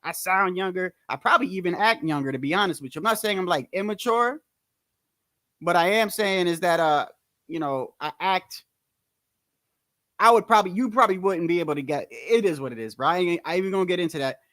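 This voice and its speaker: A man with a New Jersey accent and a notably high, youthful-sounding voice chats on a livestream. His talk is loose and slangy, circling back and repeating phrases. The sound is dry and close, with almost no room around it. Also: fairly quick, a bit bright, no echo. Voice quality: high-pitched voice. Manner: rambling, slangy, repeats himself. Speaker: boxing commentary livestream host. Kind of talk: casual livestream chat.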